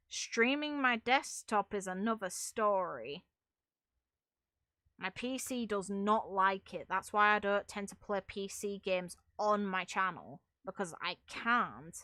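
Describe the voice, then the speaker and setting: A woman's voice: mid-range voice, sharp tone, dry recording, studio quality. A woman chatting casually on a livestream as a game streamer, giving her opinions in an emphatic, opinionated way. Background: music.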